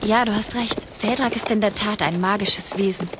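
A woman's voice: medium pitch, normal speed, noisy background, close to an everyday voice. Feisty young dragon rider played by a woman. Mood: reassuring, loyal. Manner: blunt, slangy, sarcastic. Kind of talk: fantasy audio drama acting.

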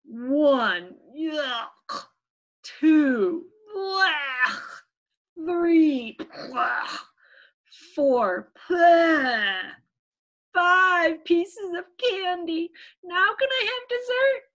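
A woman voicing an excitable little boy pea from a picture book, with a whiny, squealing voice. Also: extremely high pitch, slow, voice pushed up, heavily acted, poor audio. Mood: disgusted, whiny.

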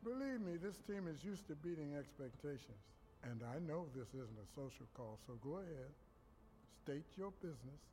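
A man playing a measured, wise older outlaw leader in a video game cutscene, formal yet folksy. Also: a little high, big pitch swings, dark tone, a little hiss or hum, low-quality recording. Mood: firm.

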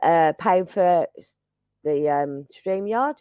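A woman's voice: fairly low pitch, takes it slowly, no room sound, muffled recording. A woman chatting casually to her subscribers, rambling and self-mocking, with lots of restarts. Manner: chatty, self-mocking, scattered, starts with sung lines. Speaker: YouTube channel host.

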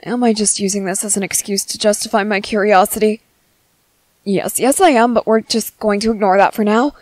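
A woman playing a gentle, curious young merboy in an ASMR roleplay, hesitant and musing to himself. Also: medium pitch, steady tone, fairly quick, no echo, natural voice. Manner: hesitant, musing to self, repeating words. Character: gentle, curious young merboy, soft-hearted and easily flustered.

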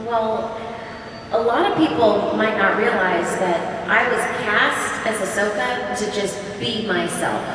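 A woman on a convention panel stage, telling candid, animated stories into a handheld mic. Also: mid-range voice, measured pace. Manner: animated, candid anecdotes with self-deprecating laughs. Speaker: panel guest, voice actor. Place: large convention hall stage. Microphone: handheld microphone. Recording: echoey hall, distant mic, crowd.

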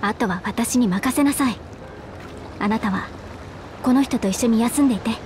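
A woman's voice: a little high, moderate pace, a little mellow, slight background noise, natural voice. A woman voicing a composed, caring companion in a fantasy video game, with firm, feminine speech. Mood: reassuring. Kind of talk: dramatic video game voice acting.

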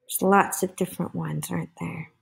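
A woman's voice: on the low side, fairly even intonation, normal speed, gravelly, husky. A woman reading a picture book aloud to children, expressively, with chatty asides and questions to her young listeners.

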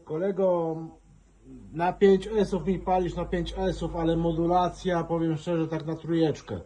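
A man's voice: on the high side, flat delivery, measured pace, dark tone, poor audio. Friendly chatty man on ham radio. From Poland. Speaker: amateur radio operator. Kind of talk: casual ham radio contact.

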